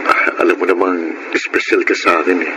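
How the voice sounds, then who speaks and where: A humble father in love, with a deep voice and a pleading, sincere manner. It is radio drama acting on a clearly noisy recording. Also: flat delivery, a little fast. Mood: earnest, humble. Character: earnest, humble separated father courting his sweetheart.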